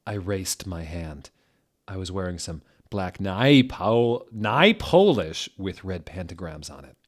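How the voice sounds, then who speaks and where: A man giving a mock-dramatic reading aloud, with deadpan, exasperated asides. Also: sits low, slow. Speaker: narrator doing a dramatic reading.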